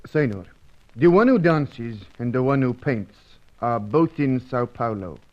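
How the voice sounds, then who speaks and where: An actor playing Angelo, a loyal South American manservant, speaking formally and solemnly in an old radio drama. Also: male voice, medium pitch, lively intonation, close to an everyday voice. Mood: grave. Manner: formal, solemn, halting phrasing. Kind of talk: 1950s radio drama acting. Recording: dull lo-fi old radio recording.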